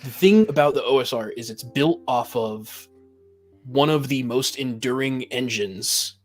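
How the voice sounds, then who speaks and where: A man's voice: mid-range voice, measured pace, faint music behind. A man on a podcast panel giving his opinions in a casual, assertive, explanatory way.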